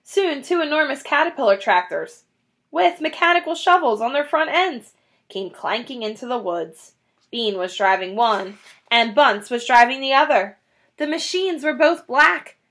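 A woman in her thirties reading a children's book aloud in an animated, dramatic way. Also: high-pitched, moderate pace. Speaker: children's story reader. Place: small indoor room, classroom-like decor.

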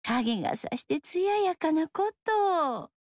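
A woman's voice: very high voice, big pitch swings, takes it slowly, a little mellow, dry recording, clean studio sound, full character voice. A woman voicing a cheerful young female dancer in a video game, speaking with a Kyoto accent in a lilting, teasing, friendly way. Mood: playful. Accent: Kyoto dialect.

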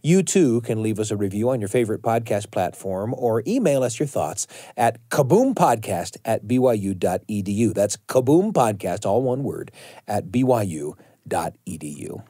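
A middle-aged American man hosting a family podcast with an expressive, measured storytelling delivery. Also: fairly low pitch, dry recording, studio quality. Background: faint music.

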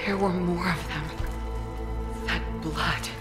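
An actress playing an anguished young woman in a video game, with halting, urgent delivery. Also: on the low side, fairly even intonation, loud music behind the voice. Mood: shaken. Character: anguished young woman searching for her sister. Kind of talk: video game cutscene acting.

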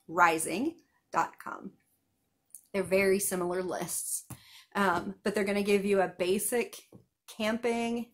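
A woman in her thirties giving numbered tips in a casual chat to camera, seated on a couch at home, with small laughs and asides. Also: mid-range voice. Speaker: vlogger giving festival tips.

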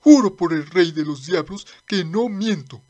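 Lady doing a whiny old demon butler guy, fussy, slow talking, voice goes up n down a lot, cartoon dub. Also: lowish voice, expressive, mellow. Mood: pleading. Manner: whiny, fretful, deferential. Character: fussy, complaining old male demon attendant. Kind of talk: amateur manga dub acting.

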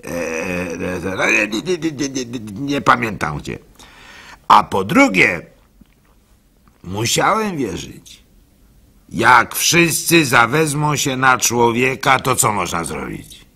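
Gravelly, animated village simpleton, radio play. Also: male voice, medium pitch, full character voice. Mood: resigned, earnest. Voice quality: husky, gravelly. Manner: halting, stammering repeats, chuckles, acts out hecklers. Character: gullible male village simpleton and orphan, good-natured, telling his story. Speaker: actor narrating a monologue. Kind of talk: dramatized radio monologue of a short story.

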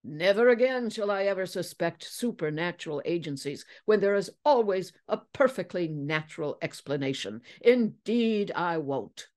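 A woman's voice: lowish voice, expressive, normal speed, hardly any pauses, darkish tone, lightly acted. A woman voicing a grandiose, self-styled king, pompous and theatrical, in a storybook reading. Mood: bemused.

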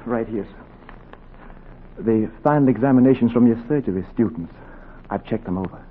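A man's voice: medium pitch, moderate pace, natural voice. An actor playing an earnest, deferential young medical apprentice in a 1940s radio drama, polite and careful in his speech. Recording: tinny old radio, faint noise.

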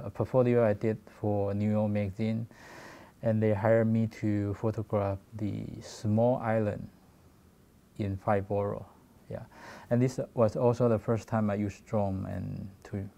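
A man in his forties from Taiwan with a Taiwanese accent, giving a halting talk about his work with many fillers and restarts. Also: fairly low pitch, creaky, mellow. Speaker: guest photographer. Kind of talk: presentation talk.

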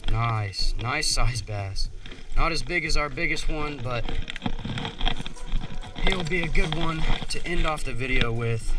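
A young man, excitedly narrating his catches on a wooded creek bank, recorded on an action camera he is wearing. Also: on the high side, slow, pauses often, recorded from a distance, very noisy. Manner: excitable, keeps narrating catches, says "y'all" and "dude". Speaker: fishing channel host. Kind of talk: excited casual play-by-play while fishing. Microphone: head- or chest-mounted action camera. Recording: action camera audio under loud background music.

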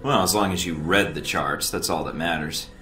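An actor playing a brash, cocky young American secret-agent recruit in a video game, with a flippant manner. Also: male voice, low voice, normal speed, bright, forceful tone. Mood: smug. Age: young adult. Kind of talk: video game cutscene dialogue. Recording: echoey, music underneath.

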